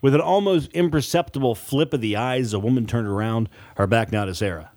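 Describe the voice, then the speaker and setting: A man's voice: medium pitch, brisk, darkish tone, soft background music. A man reading a scripted audiobook story as its author and narrator.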